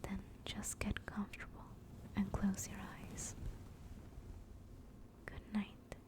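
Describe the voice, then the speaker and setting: Woman playing a tender female demon, whispered ASMR roleplay, unhurried, soothing, even-toned. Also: mid-range voice, close to an everyday voice. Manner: slow, soothing, reassuring. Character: gentle, caring female sleep paralysis demon.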